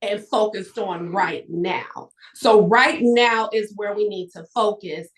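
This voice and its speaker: Emphatic, animated woman giving motivational talk. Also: mid-range voice, takes it slowly. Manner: emphatic, animated, projecting storyteller. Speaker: guest author and entrepreneur. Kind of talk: motivational conversation on a live stream.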